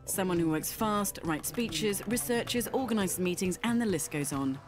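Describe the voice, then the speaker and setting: A woman narrating a scripted documentary feature in a brisk, lively voice-over. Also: mid-range voice. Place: voice-over studio. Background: background music.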